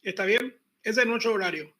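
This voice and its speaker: A man teaching an online university class, relaxed and chatty, repeating his points and joking with the students. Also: high voice, brisk. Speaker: university pathology lecturer. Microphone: computer mic on a video call.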